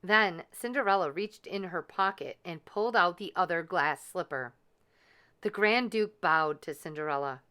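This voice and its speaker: A woman narrating a children's storybook in a deliberate, expressive way. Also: lowish voice, clean tone. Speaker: children's read-aloud host and storyteller.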